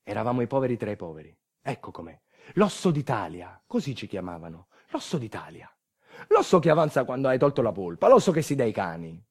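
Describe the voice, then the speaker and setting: A man's voice: mid-range voice, sing-song, brisk, darkish tone, breathy edge. A man performing a theatrical narrative monologue in a wry, rhetorical manner, with colloquial asides and refrains.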